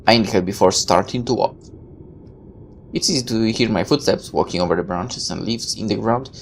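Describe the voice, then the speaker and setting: Creaky-voiced storyteller reading a game aloud. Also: mid-range voice, a little hiss or hum. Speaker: gaming video host reading a visual novel aloud. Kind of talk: story read-aloud with casual asides.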